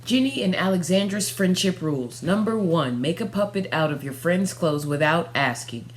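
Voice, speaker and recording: A woman's voice: fairly low pitch, lively intonation, moderate pace, close to an everyday voice. Woman reading as a hurt, firm young girl. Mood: firm, earnest. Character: hurt, stern young girl, the wronged best friend. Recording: faint background noise and hum.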